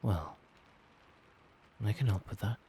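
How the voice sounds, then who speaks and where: A man as a low, soft-spoken doting vampire boyfriend. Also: slow, slight background noise. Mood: soothing, hypnotic. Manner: tender, reassuring, coaxing. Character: doting, gentle male vampire boyfriend soothing partner to sleep. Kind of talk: soft-spoken ASMR roleplay.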